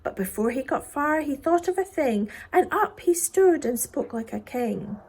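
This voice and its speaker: A woman reading a children's poem expressively, with a theatrical manner that dips into hushed tones. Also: high-pitched, animated, moderate pace. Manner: theatrical, dropping into hushed whispers. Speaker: story reader.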